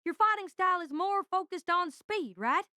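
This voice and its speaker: A woman voices an eager young male senpai with a high, bright voice. He is a friendly, chatty tinkerer, earnest and full of questions, recorded dry and close in audio drama style. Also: animated, moderate pace, no echo, full character voice. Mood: curious, earnest.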